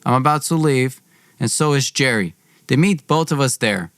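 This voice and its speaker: A man's voice: mid-range voice, lively intonation, normal speed, no room sound. Young man telling a dry, close-miked story. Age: young adult. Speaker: podcast host and storyteller. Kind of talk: dramatized personal storytelling.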